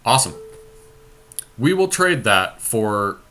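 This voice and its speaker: Low-voiced man casually commentating a card game. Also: very animated, slow pace, sharp tone. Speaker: podcast host playing online card game.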